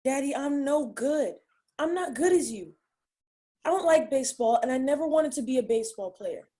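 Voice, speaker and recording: Woman acting young son, high voice, dark soft tone, dejected, script reading. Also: lively intonation, moderate pace. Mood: upset. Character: discouraged eleven-year-old boy, eager to please his father. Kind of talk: dramatic table read.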